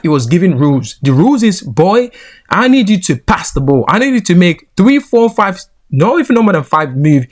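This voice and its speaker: Indignant Nigerian man ranting. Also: on the high side. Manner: indignant, rising into emphatic rants. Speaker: football commentator. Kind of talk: reaction commentary.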